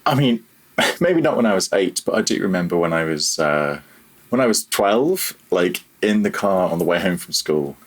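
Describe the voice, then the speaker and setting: A man's voice: low voice, sing-song, normal speed. A man in his thirties chatting casually as an interview guest, reflective and a little hesitant, with a self-deprecating way of talking. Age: 30s. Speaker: podcast guest, audio programmer.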